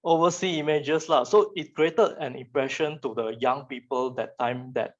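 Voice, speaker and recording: A muffled, lo-fi online recording of a young Malaysian man speaking at a middling pitch. He is a native Chinese speaker giving an informal slide talk, colloquial and halting, often doubling back on his phrases. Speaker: guest speaker, photographer and digital artist. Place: online virtual session. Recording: muffled, lo-fi online call audio.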